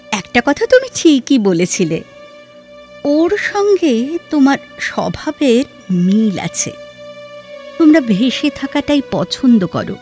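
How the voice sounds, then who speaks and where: A woman playing a reflective, scholarly, sorrow-laden heroine in an audio drama, speaking in a measured, lyrical way with expressive pauses. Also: on the high side. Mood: pensive. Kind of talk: Bengali audio drama dialogue.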